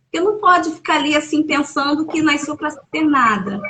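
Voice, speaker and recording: A woman's voice: high voice, animated, moderate pace, bright, forceful tone, slight background noise. A woman with a Brazilian Portuguese accent, an educator who runs school workshops, talking casually and emphatically on a live video call. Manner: emphatic, encouraging, rising when making a point. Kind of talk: casual conversation on a live stream. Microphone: phone or laptop on a live video call.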